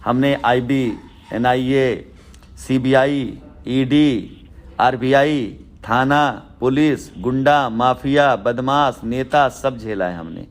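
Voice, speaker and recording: A man's voice: medium pitch, takes it slowly. A man talking as a company boss on a recorded business phone call, rhetorical and repetitive, building points with lists and analogies. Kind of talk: informal business phone conversation.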